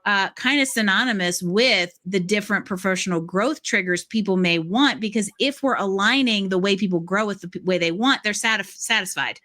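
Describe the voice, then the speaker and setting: Bright-voiced woman hosting a podcast. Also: medium pitch, fairly quick, hardly any pauses. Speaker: podcast host interviewing a guest. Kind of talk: conversational podcast interview.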